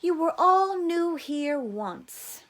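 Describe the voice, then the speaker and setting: A woman's voice: extremely high pitch, expressive, very slow, fairly bright tone, voice pushed up, heavily acted. A woman voicing an upbeat, preachy male camp counselor in a storybook reading, encouraging and patronizing. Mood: patronizing, insistent.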